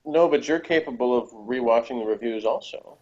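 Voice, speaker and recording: A man co-hosting a casual review chat, talking in a rambling, halting, self-deprecating way. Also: mid-range voice, darkish tone. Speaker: co-host of a TV review show. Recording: dull, lo-fi audio.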